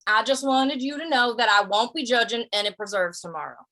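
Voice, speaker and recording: A woman's voice: fairly high pitch, brisk, bright, forceful tone. A woman acting a plain-spoken, stubborn but easygoing cowpoke in a 1950s-style Western radio drama, with folksy phrasing. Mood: indignant.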